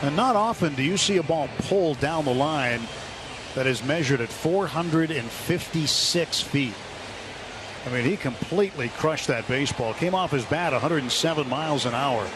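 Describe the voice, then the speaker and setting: Higher-pitched man calling baseball over ballpark noise. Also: expressive, moderate pace. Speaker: baseball play-by-play announcer. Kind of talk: live radio sports commentary. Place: open broadcast booth at a ballpark. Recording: noisy live stadium radio broadcast. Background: loud outdoor ballpark ambience.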